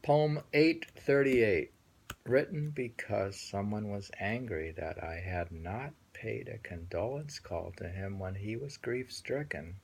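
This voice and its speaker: A man reading translated poems aloud and musing on them casually, in a halting manner with repeated phrases and a husky, gravelly voice. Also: fairly low pitch, a little hiss or hum. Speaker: reader and host.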